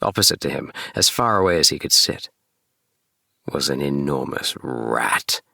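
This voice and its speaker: A man narrating a bedtime audiobook in a gentle, soothing storytelling manner. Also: rumbling low, expressive, measured pace, gravelly, dry recording, clean studio sound. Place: small DIY recording booth.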